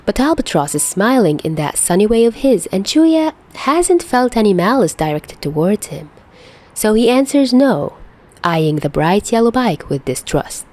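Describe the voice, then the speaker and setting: A woman reading a story aloud as an expressive, dramatic narrator. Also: mid-range voice, normal speed. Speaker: fan fiction reader.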